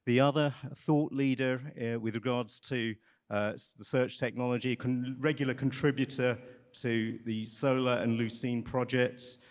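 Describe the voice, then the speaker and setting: A man's voice: medium pitch, steady tone, measured pace. A man introducing speakers at a conference, joking lightly and hesitating with many fillers. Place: large conference hall.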